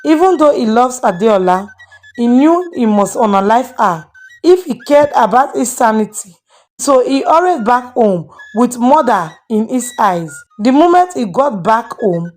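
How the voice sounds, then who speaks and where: A woman's voice: fairly high pitch, darkish tone. A woman storyteller hosting and dramatically narrating a moral tale. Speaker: storyteller and channel host.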